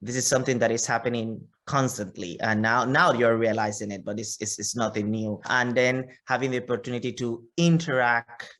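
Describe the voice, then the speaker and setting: A man's voice: medium pitch, fairly even intonation, brisk, hardly any pauses, fairly bright tone. A man with a Panamanian Spanish accent giving long, conversational interview answers, often repeating words as he goes. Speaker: interview guest, physician.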